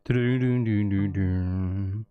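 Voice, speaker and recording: A man chatting casually on a livestream as he makes music, excitable and apologetic, with exclamations and nonsense syllables for riffs. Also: slow, lo-fi sound. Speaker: streamer making music. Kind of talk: casual livestream chat while composing music. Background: bass guitar and music playing.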